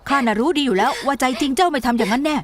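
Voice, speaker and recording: A woman's voice: high voice, expressive, very fast, crowd noise. A woman voicing an earnest, easily flustered young teenage boy apprentice in a Thai anime dub. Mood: reassuring. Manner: earnest, boyish, protesting. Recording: voice over background music.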